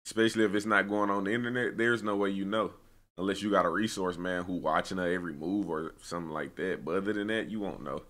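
A man's voice: low-pitched, steady tone, fast talker, a bit bright. A young American man giving casual, slangy reaction commentary with opinionated, conversational delivery. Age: young adult. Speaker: reaction video host.

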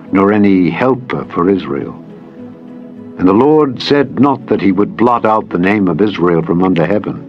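A man with a fairly low voice narrates scripture solemnly and formally. His phrasing is measured and deliberate. The recording is somewhat dull and lo-fi, with music playing behind him. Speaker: Bible narrator. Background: background music.

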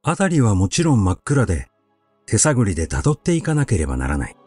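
A man narrating a literary short story in a measured, expressive storytelling manner. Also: on the low side, slightly rough, no room sound, faint music behind. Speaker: audiobook narrator.

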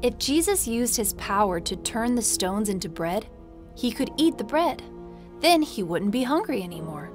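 A woman's voice: fairly high pitch, big pitch swings, moderate pace. A woman reading scripted narration for a children's Bible story in short, simple sentences. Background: music.

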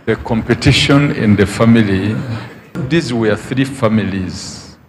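A man's voice: fairly low pitch, a little slow, in a large hall, a little hiss or hum. A man prophesying at a deliverance service, speaking into a handheld mic with sudden emphatic rises. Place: large outdoor church tent.

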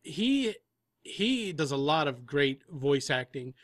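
A man's voice: a little high, big pitch swings, takes it slowly, no room sound, clean studio sound. A man giving conversational interview answers as a guest producer, explaining things at length in rambling detail. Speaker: audio drama producer and composer, guest.

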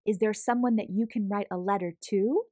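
A woman reading a picture book aloud to children in an expressive, deliberate, theatrical style. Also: mid-range voice, dry recording, studio quality. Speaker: children's story reader.

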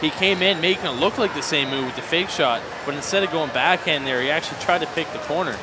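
A man's voice: on the high side, fast. A man giving colour commentary on a hockey shootout, breaking down each shot with eager praise. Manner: enthusiastic analysis between plays. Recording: crowd and arena noise behind.

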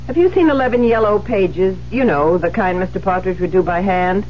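An actress playing a guilty, evasive wife and novelist in a theatrical 1970s radio drama style. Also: female voice, mid-range voice, a little fast, slight background noise, natural voice. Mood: anxious. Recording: muffled old radio broadcast.

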